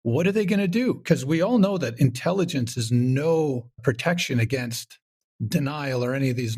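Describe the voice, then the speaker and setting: A man from Canada talking casually as an interview guest, animated and rambling, acting out his own thoughts as he goes. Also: mid-range voice, creaky, breathy edge. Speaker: audio comedy creator, podcast guest.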